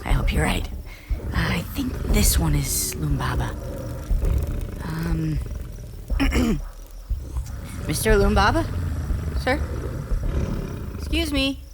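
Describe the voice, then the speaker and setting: A woman's voice: fairly low pitch, animated, slow, pauses often, background noise. A woman playing a small young male squirrel in an audio drama, nervous yet reckless, stammering and dramatic. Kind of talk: audio drama character acting.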